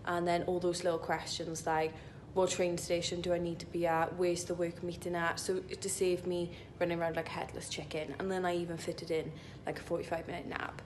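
A young woman vlogs in a chatty, rambling way, with plenty of filler "likes". Her voice sits a little low. It is recorded on a phone at arm's length in a locker room, with faint rumbling noise underneath. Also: flat delivery, brisk, few pauses, darkish tone. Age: young adult. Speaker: vlogger, IT consultant. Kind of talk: casual vlog chat to camera. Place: indoor gym locker room.

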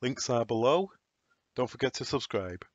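A man's voice: mid-range voice, expressive, normal speed, no room sound, lo-fi sound. Man narrating a tutorial, dry close sound. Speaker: technical trainer. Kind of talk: step-by-step software tutorial narration.

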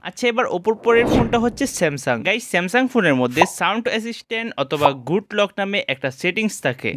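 Male gaming tutorial host, Bangladeshi Bengali accent, highish clean voice, instructional and casual. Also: fairly bright tone, no room sound, clean studio sound. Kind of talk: casual how-to narration addressed to viewers.

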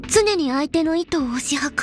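A woman voicing an aloof young female snowfield explorer in a game, speaking low-key and softly deadpan. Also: brisk, over music. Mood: laconic. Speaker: game character voice. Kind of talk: game voice lines, Japanese dub.

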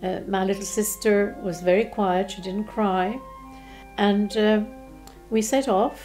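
Elderly woman, mellow unhurried recollection. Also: mid-range voice, fairly even intonation, soft background music, poor audio. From Czechoslovakia. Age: 80s. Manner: gentle, unhurried, with hesitations and restarts. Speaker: interviewee. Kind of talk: recounting childhood memories. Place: living room, seated in armchair.

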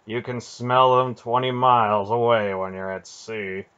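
A man's voice: mid-range voice, steady tone, measured pace, a bit bright, clean tone, lightly acted. Man voicing a grim old sailor. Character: grim weathered male sailor. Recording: dull lo-fi audio.

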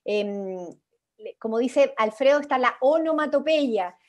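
A woman's voice: high-pitched, animated, unhurried. A young woman with a Chilean accent hosting an interactive creative workshop over a video call, encouraging and informal. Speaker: workshop host.

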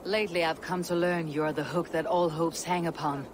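An actor playing a steely Viking warrior in a video game, with a blunt, dry, confident manner. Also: male voice, on the high side, steady tone, a little fast, noisy background, close to an everyday voice. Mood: earnest. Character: steely, taunting Viking warrior, protective of clan. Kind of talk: video game cutscene acting. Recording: music behind the voice.

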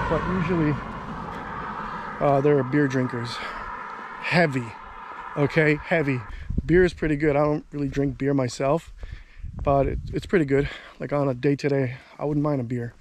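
A man's voice: fairly high pitch, a little mellow, a little hiss or hum. A man in his thirties casually vlogging outdoors while walking along a country road, rambling and thinking aloud. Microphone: handheld camera at arm's length.

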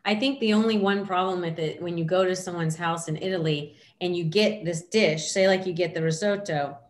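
A middle-aged woman hosting a casual video call chat, talking in a chatty, animated way with lots of asides. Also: fairly low pitch, brisk. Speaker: host. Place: home room indoors. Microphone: video call, webcam.

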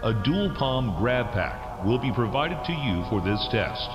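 A man playing a formal factory test announcer, giving measured, precise scripted instructions in a video game. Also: medium pitch, big pitch swings, highs cut off. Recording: echoey hall reverb, music behind.

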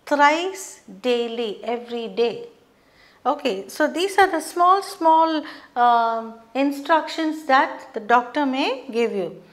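A middle-aged woman teaching a beginners' lesson at a whiteboard, explaining terms slowly and checking in with frequent "okay". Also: high voice, expressive. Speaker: English teacher. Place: studio classroom with whiteboard.

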